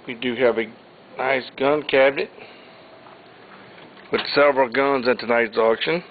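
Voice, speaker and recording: A man's voice: mid-range voice, steady tone. Man listing auction items, tinny audio. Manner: plain, unhurried item-by-item listing. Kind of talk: informal walkthrough narration. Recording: tinny phone-like audio, faint hiss.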